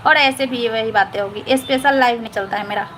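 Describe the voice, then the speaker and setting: A woman hosts a casual live stream, answering viewers one by one in a high, chatty voice. She talks in loose repetitive loops and keeps checking that they understood. Noisy household ambience sits under her voice. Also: fairly quick, fairly bright tone. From Patna, Bihar.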